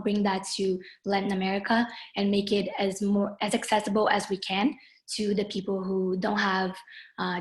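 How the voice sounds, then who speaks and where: Young woman from Brazil, native Portuguese speaker, moderating a video webinar; middle pitch, friendly, filler-heavy delivery. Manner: friendly, many ums and uhs, slows on key phrases. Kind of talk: online webinar introduction. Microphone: video call.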